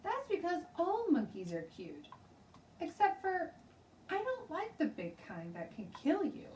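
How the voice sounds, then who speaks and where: A woman's voice: very high-pitched, huge pitch swings, unhurried, a little mellow, voice pushed up, heavily acted. A woman voicing a stubborn, chatty little kindergarten girl with childish, emphatic grammar in a children's storybook read-aloud. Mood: stubborn, insistent. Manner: childish ungrammatical speech, emphatic, insistent. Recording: roomy, distant mic, faint noise.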